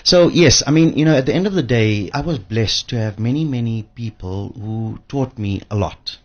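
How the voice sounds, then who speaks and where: A man's voice: mid-range voice, moderate pace, highs cut off. An older man with a South African accent, a guest in a radio interview, telling his story in an informal, reflective way. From Johannesburg, South Africa. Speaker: interview guest, pension fund trustee.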